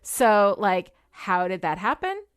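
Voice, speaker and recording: A woman's voice: mid-range voice, sing-song, slow, clear, resonant tone, no echo, studio quality. A woman co-hosting a podcast in casual, analytical discussion, often checking in with "right?" as she talks. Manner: conversational, frequent "you know" and "right?" tags. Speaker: podcast co-host.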